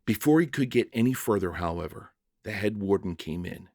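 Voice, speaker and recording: A man's voice: fairly low pitch, animated, fairly quick, darkish tone, no room sound, clean studio sound. A man narrating a scripted short story in a dramatic reading style.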